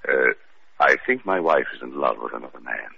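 Stammering suspicious rich husband, art snob, super deep n croaky, old radio show. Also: male voice, animated, full character voice. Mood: flustered. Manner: halting, stammering with uh and well. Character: hesitant, suspicious wealthy husband, a fussy art lover. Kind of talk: 1940s-50s radio detective drama acting. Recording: dull old radio recording.

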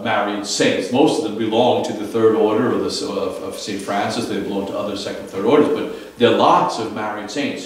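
Priest, 50s, low voice, chatty homily storytelling, echoey chapel, picked up from across the room. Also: male voice, distant mic. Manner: conversational storytelling, fillers and restarts. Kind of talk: daily homily. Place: small chapel. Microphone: far camera.

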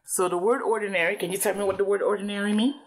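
A woman's voice: mid-range voice, steady tone, very fast. A woman reading aloud to a child as a parent, explaining things and checking understanding with questions.

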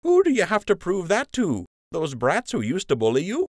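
A man's voice: sits high, huge pitch swings, moderate pace, darkish tone, dry recording, clean studio sound, pitched above the performer's own voice, full character voice. A man voicing a teasing young male rabbit friend who ribs with a smirk. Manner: smirking, playful ribbing.

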